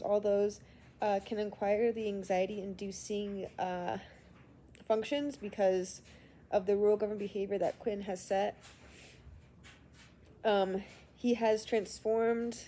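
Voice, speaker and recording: A woman's voice: mid-range voice, mellow, slight background noise. Congested woman reading a class assignment. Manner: hesitant, reading prepared answers with frequent ums. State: sick and congested. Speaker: student. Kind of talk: recorded class assignment answer.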